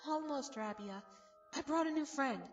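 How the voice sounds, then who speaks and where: A woman acts out a young cartoon pony, hopeful and trusting. Her voice is high and gentle, a little away from the mic, with faint music underneath. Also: animated, normal speed, far from the mic, lo-fi sound. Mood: polite, hopeful. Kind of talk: animated fan dub voice acting.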